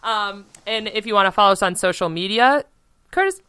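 A woman co-hosting a casual podcast, animated and chatty, with a loud voice, filler-heavy talk and frequent laughs. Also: medium pitch, a little fast, clear, resonant tone, dry recording. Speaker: podcast co-host.

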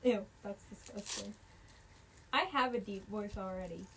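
A woman co-hosting a casual podcast, teasing her friend and tossing out quick jokey comebacks. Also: mid-range voice, a bit bright, husky, low-quality recording. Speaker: podcast co-host. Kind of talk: casual chat.

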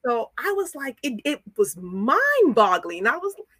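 A woman telling stories candidly and casually as a podcast guest, acting out the dialogue she quotes in an animated way. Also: high voice, unhurried, no echo, clean studio sound. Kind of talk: casual, candid storytelling in conversation.

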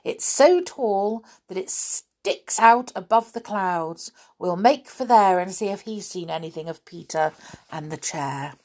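A woman voicing a brisk young male adventurer in a children's story, emphatic and easily exasperated. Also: mid-range voice, darkish tone, close to an everyday voice. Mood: eager.

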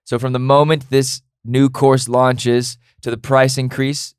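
An upbeat young man co-hosts in a loose, joking tone with a middle-of-the-road pitch. He pitches things to listeners casually and keeps his delivery light. The recording is dry and close to the mic. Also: level tone, unhurried, a little mellow, smooth, no echo. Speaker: podcast co-host. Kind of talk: casual podcast conversation.

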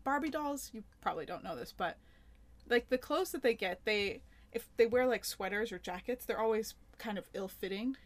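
A woman's voice: a little high, animated, moderate pace. A woman co-hosting a podcast, chatting casually and recapping a sitcom episode, acting out the characters' lines. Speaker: podcast co-host.

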